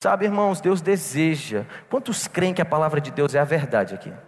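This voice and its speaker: A man's voice: fairly high pitch, lively intonation, a little fast. A man preaching a sermon to a church congregation, telling a personal story and calling them brothers. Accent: non-local Brazilian. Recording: long reverb in a church hall.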